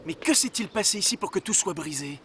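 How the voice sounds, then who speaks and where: A man voicing an excitable, pompous, imperious king in an animated fairy tale, with theatrical, commanding delivery. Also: sits high, fairly quick. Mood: indignant. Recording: long hall reverb, music underneath.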